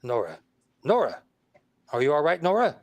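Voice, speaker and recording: A man's voice: fairly high pitch, huge pitch swings, normal speed. Man playing a flippant detective with swooping intonation. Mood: concerned. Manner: wry, evasive banter. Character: flippant, witty, nonchalant husband and amateur detective. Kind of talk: vintage 1930s radio mystery acting.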